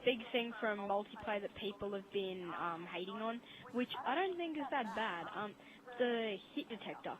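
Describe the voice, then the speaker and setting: A woman in a casual gaming discussion over voice chat, halting and repeating words as she goes. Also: medium pitch, expressive, a crowd in the background, poor audio. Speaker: podcast guest. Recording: faint noise, online voice chat.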